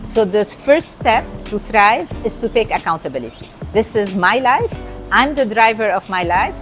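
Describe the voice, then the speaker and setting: A woman's voice: medium pitch, big pitch swings, moderate pace, soft background music. A middle-aged woman from Lebanon, a guest entrepreneur and coach, speaking earnestly and repeating her key points in a conversational interview.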